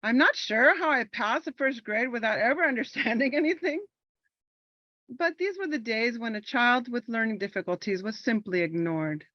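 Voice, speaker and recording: A woman's voice: on the high side, lively intonation, normal speed, no room sound, highs cut off. Earnest woman telling her own story. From Los Angeles, California. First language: Spanish. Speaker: army veteran performing a monologue. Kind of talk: personal monologue performance.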